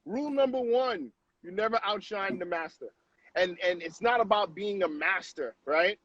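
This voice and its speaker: A man in his late thirties from Haiti, a guest telling stories in a casual, animated way over a video call, often tagging on questions. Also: high-pitched, normal speed, no room sound, dull audio. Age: 30s. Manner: animated, with frequent tag questions. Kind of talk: casual storytelling on a live stream.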